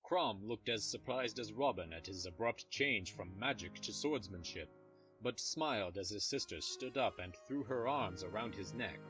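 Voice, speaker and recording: A man's voice: fairly low pitch, animated, moderate pace, fairly bright tone, soft background music, low-quality recording. A man reading scripted third-person fantasy narration as the narrator of an audio drama.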